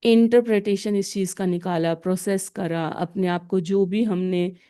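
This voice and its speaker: A woman guiding a meditation with calm, deliberate instructions in short, separated phrases. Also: mid-range voice, clear, resonant tone. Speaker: guided meditation teacher.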